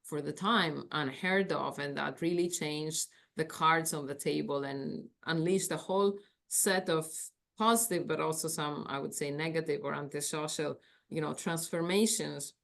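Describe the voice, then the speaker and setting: A woman's voice: low-pitched, steady tone, normal speed, gravelly, fairly bright tone. A woman from Greece with a Greek accent, giving a conference talk from her office over a video call on her laptop, thoughtful and searching for words with fillers. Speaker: academic panelist.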